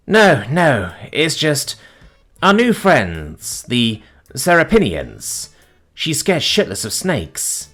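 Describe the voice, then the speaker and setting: A man's voice: a little high, huge pitch swings, takes it slowly, a bit bright, faint music behind, voice pushed up, full character voice. A man voicing a flustered female starship captain and protective mother, exasperated and frazzled. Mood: worried.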